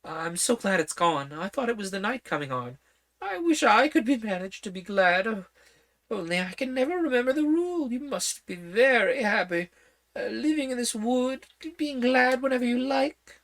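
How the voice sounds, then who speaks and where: A woman's voice: fairly high pitch, expressive, normal speed, fairly bright tone, pitched above the performer's own voice. Polite, puzzled young girl in a storybook, read by a woman. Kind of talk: storybook reading.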